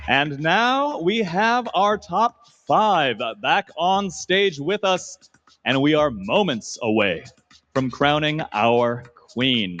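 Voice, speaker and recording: A man's voice: on the high side, lively intonation, slow, a bit bright, highs cut off, soft background music. A man co-hosting a live pageant on an arena stage, upbeat and joking with his co-hosts. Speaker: pageant co-host.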